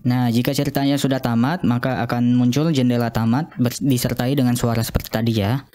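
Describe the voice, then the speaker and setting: Young male, mellow and dark-toned, informal tutorial delivery with improvised, slightly hesitant phrasing. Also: medium pitch, steady tone, brisk, faint music behind. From Indonesia. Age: young adult. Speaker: student presenter of an app demo. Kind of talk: informal screen-demo walkthrough.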